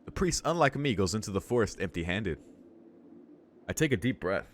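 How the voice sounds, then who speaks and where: A man's voice: on the low side, sing-song, normal speed, a little hiss or hum. A man hosting a let's play, reading a visual novel aloud and adding informal, musing asides.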